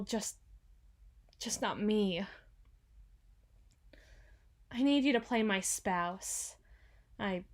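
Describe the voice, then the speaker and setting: A woman's voice: mid-range voice, lightly acted. A woman playing a proud but insecure company boss in an ASMR roleplay, speaking formally and wordily with flustered stammers. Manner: formal, wordy, haughty yet flustered, stammering. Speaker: voice actor.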